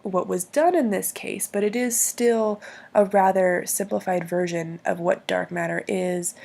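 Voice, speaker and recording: Hoarse woman, husky rasp, gravelly, hesitant explainer, frequent ums. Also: medium pitch, steady tone, measured pace, mellow. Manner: hesitant, many ums and restarts. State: hoarse from bronchitis. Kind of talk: explaining logical fallacies.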